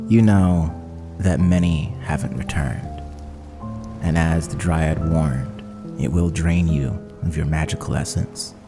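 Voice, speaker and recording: Deep male game master, slow dramatic horror narration, measured storytelling, long reverb. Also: creaky. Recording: long reverb, music underneath.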